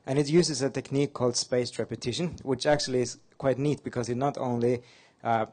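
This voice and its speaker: A man's voice: medium pitch, fairly even intonation, brisk, dark tone. Casting: man from Norway, native Norwegian speaker, conference presenter, upbeat and light-hearted. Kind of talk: fast-paced slide talk. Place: conference hall.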